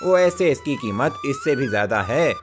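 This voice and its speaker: A man's voice: medium pitch, wildly swooping pitch, a little slow, music in the background. A man voicing an eager, talkative village goldsmith in a cartoon story, inquisitive and wheedling.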